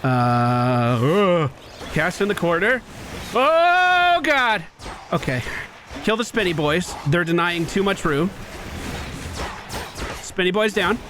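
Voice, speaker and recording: Lively, high-pitched man commentating gameplay. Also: slow pace, long pauses, slight background noise. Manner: self-narrating play-by-play with casual asides. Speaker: gaming streamer and commentator. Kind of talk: live gameplay commentary, casual.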